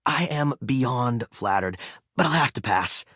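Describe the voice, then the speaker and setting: A man's voice: mid-range voice, animated, unhurried, a bit bright, slightly rough, no echo, studio quality. A man voicing a goofy, good-natured game developer in a video game, with playful, slangy, casual delivery. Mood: self-deprecating. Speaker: actor and game creator character.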